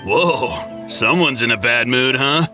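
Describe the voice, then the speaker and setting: A man's voice: medium pitch, lively intonation, takes it slowly, music in the background. A man playing a carefree, flippant guardsman in an anime game, untroubled by anything. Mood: carefree, amused. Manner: flippant, chuckling.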